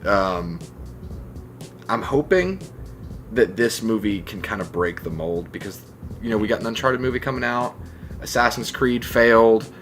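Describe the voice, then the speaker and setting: Low-voiced man, opinionated podcast talk. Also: expressive, moderate pace, pauses often, fairly bright tone, faint music behind. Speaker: podcast co-host. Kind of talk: casual opinionated podcast discussion.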